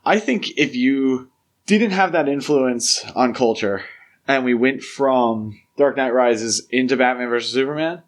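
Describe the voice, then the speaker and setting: A man's voice: mid-range voice, unhurried. A man co-hosting a podcast in casual film discussion, talking conversationally and peppering sentences with "like" and "you know". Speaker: podcast co-host.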